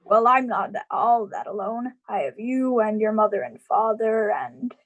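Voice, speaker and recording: A woman's voice: on the high side, steady tone, phone-quality sound. A woman playing a lonely, sad uncle in a live radio drama, hesitant and evasive. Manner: hesitant, halting.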